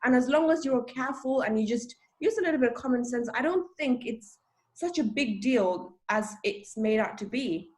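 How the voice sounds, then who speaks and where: A woman's voice: a little high, lively intonation. Chatty young woman from Sri Lanka. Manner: open, chatty, quick to laugh at herself. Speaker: interview guest. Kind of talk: casual interview.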